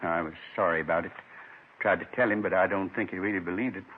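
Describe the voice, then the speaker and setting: A muffled 1950s radio drama recording carries a lonely old man in his seventies. His voice is husky, his manner gentle and folksy, and he rambles wistfully in short, plain phrases as he confesses. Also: sits low, fairly even intonation, quick pace. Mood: remorseful. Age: 70s.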